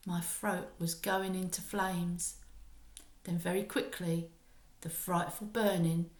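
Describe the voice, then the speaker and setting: A woman reading a children's story aloud like a primary school teacher, with expressive, emphatic dramatic narration. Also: on the low side, slow, roomy sound.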